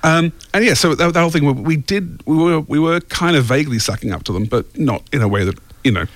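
A man's voice: on the high side, lively intonation, fast talker, dry recording. A middle-aged man with an Australian accent, chatting casually as an interview guest. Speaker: guest, audio drama creator. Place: radio studio.

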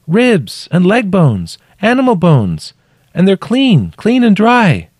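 Man voicing a high, dazed trapped woman. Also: big pitch swings, dry recording, clean studio sound. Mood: strained, halting. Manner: calling out from a distance in short halting phrases. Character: trapped injured woman calling up from a cave, awestruck, dazed.

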